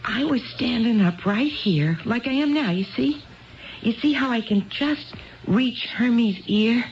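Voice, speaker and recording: A woman's voice: a little high, lively intonation, measured pace, slight background noise. An actress playing an earnest, tipsy married woman who rambles wistfully in a 1970s radio drama. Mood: intent, wondering. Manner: wistful, digressive, repeats herself. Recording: dull lo-fi 1970s radio broadcast.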